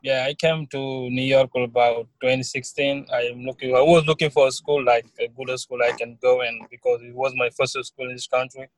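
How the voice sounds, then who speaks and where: A young man with a Senegalese accent, a student guest talking casually with halting, non-native phrasing in an interview. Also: mid-range voice, flat delivery, no echo, low-quality recording. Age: young adult. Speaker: student guest, recent graduate.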